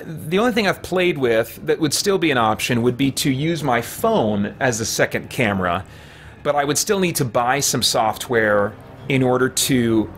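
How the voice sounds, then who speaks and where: A man's voice: medium pitch, a bit bright. A middle-aged man hosting a live gaming stream, rambling casually and thinking aloud as he plays. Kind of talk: casual live stream chat while playing. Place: small home room lined with shelves.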